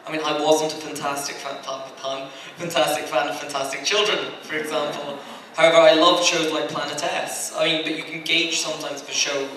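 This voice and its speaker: A man's voice: fairly high pitch, level tone, brisk, sharp tone, far from the mic. A man speaking conversationally and discursively on a convention panel as an anime licensing executive. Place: large indoor convention panel room. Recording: very echoey room, off mic, faint noise.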